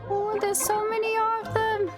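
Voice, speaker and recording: A woman's voice: very high-pitched, monotone, measured pace. A woman game streamer giving casual, gushing commentary as she plays. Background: game music.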